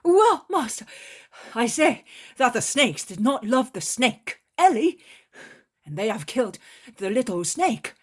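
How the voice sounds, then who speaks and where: A woman doing a panting excited fisherman. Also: fairly high pitch, unhurried, breathy edge, voice pushed up, heavily acted. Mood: urgent. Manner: urgent, agitated. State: out of breath. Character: excitable breathless male fisherman disciple.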